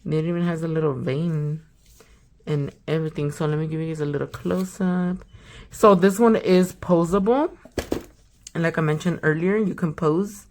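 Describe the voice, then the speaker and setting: A young woman hosting a nail channel, chatting casually and slangily while unboxing, a little hoarse from a sore throat. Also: low voice, moderate pace, darkish tone. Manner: chatty, slangy, trailing off to a whisper. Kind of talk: casual product unboxing chat.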